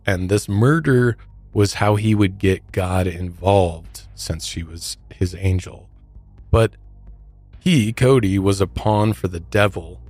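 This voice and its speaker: A man's voice: low voice, darkish tone, no echo. A man hosting a podcast, moving between prepared narration and casual discussion. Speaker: true crime podcast host. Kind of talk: recounting a case, part scripted narration, part casual discussion.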